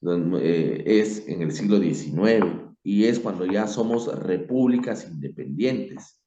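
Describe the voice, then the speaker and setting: Peruvian man, low dark voice, reflective informal talker, halting with emphatic stresses. Dull room sound. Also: lively intonation. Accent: Peruvian Spanish. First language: Spanish. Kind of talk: informal spoken opinion on history. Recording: dull, echoey room recording.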